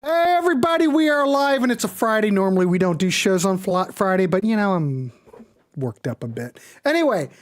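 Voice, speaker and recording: A man's voice: high voice, big pitch swings, a little fast. A man hosting a live music show in a casual, enthusiastic, rambling and informal way. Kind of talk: casual live stream commentary.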